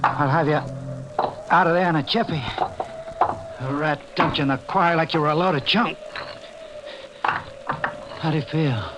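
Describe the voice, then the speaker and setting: An actor playing a sour, mournful little man who talks in deadpan slang, in 1940s radio drama style. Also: on the high side, measured pace, pauses often, natural voice. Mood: dry, helpful. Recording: loud noise, old radio recording.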